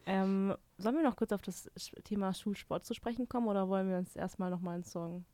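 A woman's voice: mid-range voice, brisk, a little mellow, no room sound. A young woman with a Swabian accent, co-hosting a casual podcast chat and reading listener messages aloud. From Baden-Württemberg, Germany. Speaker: podcast co-host. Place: studio.

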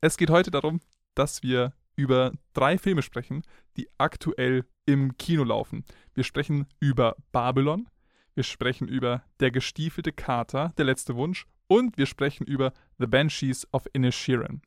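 A man's voice: mid-range voice, normal speed, dry recording, clean studio sound. A young man hosting a casual film podcast, joking and laughing through loose slangy banter. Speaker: podcast host.

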